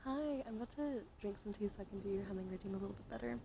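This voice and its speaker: A woman chatting casually on an ASMR livestream, soft-spoken and at times slipping into a whisper. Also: mid-range voice, racing, background noise. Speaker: live streamer.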